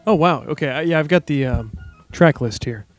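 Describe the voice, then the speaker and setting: A man's voice: on the high side, moderate pace, faint music behind. A man in casual conversation as one of a podcast panel. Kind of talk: casual chat.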